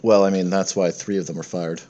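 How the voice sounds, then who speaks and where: A man's voice: deep voice, level tone, a little fast, highs cut off, background noise. A man working as game master, narrating a tabletop game in a casual, improvised way.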